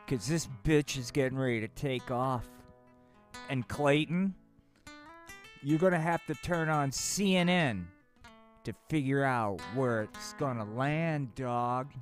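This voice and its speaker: A man hosting a live puppet stream, talking off the cuff in a slangy, profane way and tacking "man" onto his sentences. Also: mid-range voice. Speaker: puppeteer host. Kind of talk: unscripted live stream banter.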